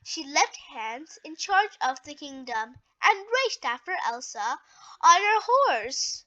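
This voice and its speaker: A woman reading a children's story aloud in a deliberate, expressive way with emphatic phrasing. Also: sits high, muffled recording.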